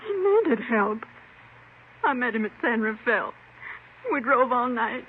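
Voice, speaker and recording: A desperate wife in a vintage radio crime drama. She pleads emotionally, close to tears, in a high, swooping voice. The recording is muffled and old. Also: very animated, slow pace, a little hiss or hum. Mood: desperate, pleading. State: distraught, near tears. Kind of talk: 1950s radio melodrama acting.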